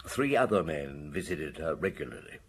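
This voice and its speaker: A man's voice: a bass voice, lively intonation, moderate pace, a bit bright, slightly rough, full character voice. An actor playing a shrewd, weary middle-aged male police detective in a radio drama, questioning people in a probing, matter-of-fact way. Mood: firm, measured.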